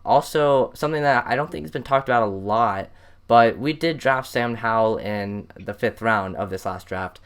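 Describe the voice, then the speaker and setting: A man's voice: mid-range voice, moderate pace, clear, resonant tone. A man with an American accent hosting a casual sports interview and asking questions. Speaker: podcast host, interviewer.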